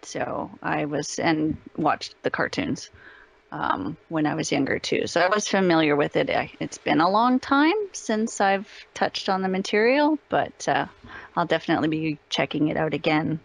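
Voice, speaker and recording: A woman's voice: low-pitched, animated, moderate pace, creaky. A woman speaking casually as an interview guest.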